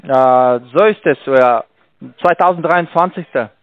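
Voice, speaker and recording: A man with a foreign accent in German, a call-centre agent arguing on the phone in a halting, insistent way. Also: fairly high pitch, no echo, muffled recording. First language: not German. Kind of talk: unscripted phone sales argument. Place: on a telephone line.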